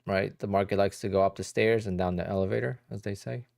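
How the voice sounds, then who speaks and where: A man in his thirties with a Polish accent talks casually to a live-stream audience, tired and a little sleepy. His voice sits low with a gravelly edge. The sound is close and dry, with mouse clicks now and then. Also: steady tone, fast, darkish tone, dry recording. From Poland. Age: 30s. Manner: chatty, answers viewer questions with many "like"s and "you know"s. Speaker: day trader streaming live.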